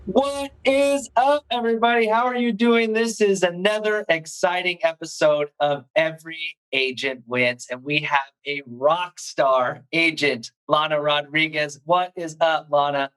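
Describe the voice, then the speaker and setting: A man hosting a podcast, energetic and hype-filled, often stumbling and restarting his sentences. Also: fairly high pitch, measured pace, hardly any pauses, clean tone, poor audio. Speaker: podcast host. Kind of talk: hyped show host intro and interview.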